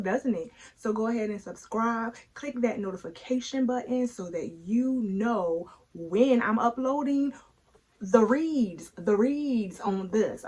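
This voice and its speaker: A woman hosting a read-aloud of her own book, chatty and playful, speaking emphatically with dramatic pauses. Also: a little high. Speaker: author and read-aloud host.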